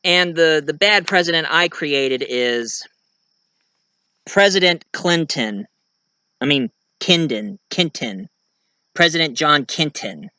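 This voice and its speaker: Male vlogger, clear crisp voice with a bright edge, casual, halting delivery. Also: fairly high pitch, lively intonation, no room sound. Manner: halting, searching for words. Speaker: video reviewer and host of the channel. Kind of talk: casual talk to viewers about own writing.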